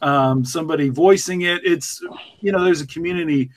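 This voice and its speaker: A man speaking casually on a podcast as a producer, earnest and a little halting. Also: on the high side. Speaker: podcast producer who picks stories.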